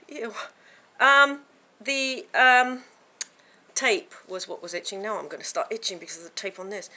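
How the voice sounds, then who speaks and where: A woman vlogging to camera from home, with a croaky voice from a sore throat, chatting in a rambling way with many fillers and restarts. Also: fairly low pitch, pushed and bright. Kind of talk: casual chat to camera.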